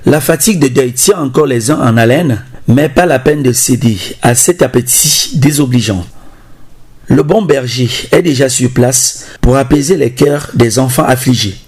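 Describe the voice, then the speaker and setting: A man giving a measured, formal scripted narration as a voice-over. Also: medium pitch, darkish tone, slightly rough.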